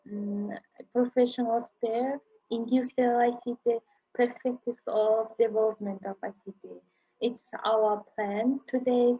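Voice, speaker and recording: A woman's voice: fairly high pitch, breathy edge. Woman lecturer. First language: Kazakh. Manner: halting, searching for English words. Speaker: university lecturer. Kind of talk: online lecture in English. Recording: dull lo-fi sound.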